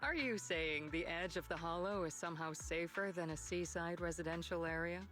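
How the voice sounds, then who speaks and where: Composed, low-voiced actress as a pointed lady. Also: normal speed, fairly bright tone, soft background music, natural voice. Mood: politely displeased. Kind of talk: video game voice acting.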